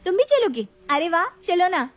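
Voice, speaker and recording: A woman's voice: extremely high pitch, very animated, normal speed. A woman voicing a headstrong, demanding young married woman in a dramatized story, with a whiny, insistent delivery. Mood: eager. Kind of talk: dramatized moral story voice acting.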